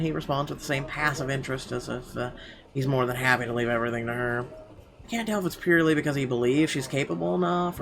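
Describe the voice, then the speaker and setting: A man's voice: mid-range voice, gravelly, a bit bright, a little hiss or hum. A man doing a let's play, reading narration aloud with some stumbles and throwing in sarcastic asides. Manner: sarcastic asides, stumbling over read text.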